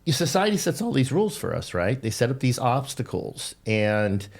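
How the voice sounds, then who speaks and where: A man's voice: medium pitch, very animated, normal speed. A man in his fifties hosting a podcast in casual chat, talking earnestly with a lecturing tone and some wry teasing, in a dim studio on a desk mic. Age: 50s. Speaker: podcast host.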